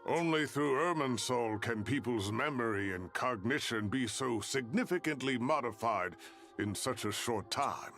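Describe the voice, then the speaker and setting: Gravelly, analytical male researcher. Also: medium pitch, lively intonation, fairly bright tone. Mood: analytical, troubled. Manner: analytical, deliberate. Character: male ley-line researcher, veteran, pensive, hesitant. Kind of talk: scripted game story dialogue. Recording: game music behind the voice.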